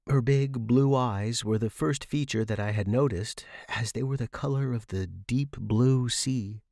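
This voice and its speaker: A man narrates scripted fiction in the first person. His intonation is very lively around a mid-range pitch, and the recording is dry and close. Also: creaky, no echo.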